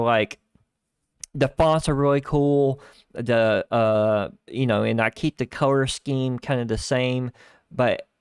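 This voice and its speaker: Man, live stream host, rambling casual talk, dry close sound, bass-light starter mic. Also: vocal fry, clean tone, dry recording. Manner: rambling, with many fillers and restarts. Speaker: livestream host. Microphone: starter desk microphone lacking bass.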